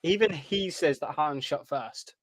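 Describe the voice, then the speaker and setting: A young British man chatting casually as a podcast co-host, explaining things earnestly in a halting way. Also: fairly high pitch, no room sound, clean studio sound. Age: young adult. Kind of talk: casual chat.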